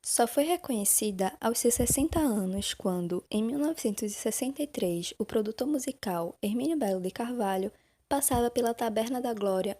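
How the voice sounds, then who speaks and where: A woman reads a scripted narration in a measured, informative way. Her voice is slightly high and breathy, with a husky edge. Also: dark tone, no echo.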